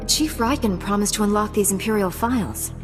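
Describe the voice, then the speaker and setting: An actress playing a calm, firm young Jedi knight in a video game, measured and resolute. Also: female voice, mid-range voice, music in the background, natural voice. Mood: composed, grave. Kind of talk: video game cutscene acting.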